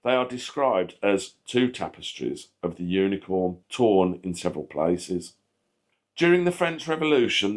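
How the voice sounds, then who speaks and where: A man narrating scripted history as a channel host, reading aloud. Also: on the low side.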